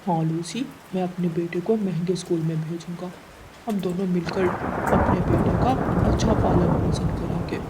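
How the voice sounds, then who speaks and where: A woman's voice: fairly low pitch, fairly even intonation, moderate pace, background noise, close to an everyday voice. A woman voicing a worried, devoted male pigeon, a husband and father, in an earnest, melodramatic Hindi cartoon story. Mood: worried, gentle. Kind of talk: Hindi animated moral story dubbing.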